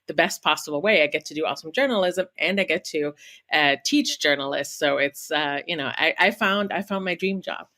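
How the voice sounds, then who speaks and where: A woman's voice: sits low, fairly quick, bright, forceful tone. A woman in her thirties or forties from Germany with a German accent, chatting as an interview guest from her home office, with lots of fillers and restarts. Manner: chatty, many fillers and restarts, laughs often. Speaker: guest journalist and author. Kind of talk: conversational interview.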